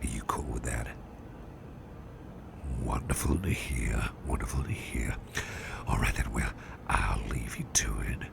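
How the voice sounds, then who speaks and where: Man acting a very deep, gravelly gentleman boss. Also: background noise, heavily acted. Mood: polite, reassuring. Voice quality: very deep, gravelly, breathy near-whisper. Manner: gentle, repeats phrases, hesitant pauses, polite. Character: courteous, attentive businessman boss, soothing and obliging. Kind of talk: soft-spoken ASMR roleplay.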